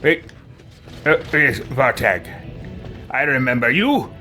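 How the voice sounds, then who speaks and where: A man's voice: mid-range voice, sing-song, slow, sharp tone, heavily acted. Man putting on a pompous, swooping, grandiose adventurer. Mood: haughty. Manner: haughty, theatrical, stammering when confused. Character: pompous, grandiose male adventurer bent on ruling. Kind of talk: in-character video game roleplay. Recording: game music behind the voice.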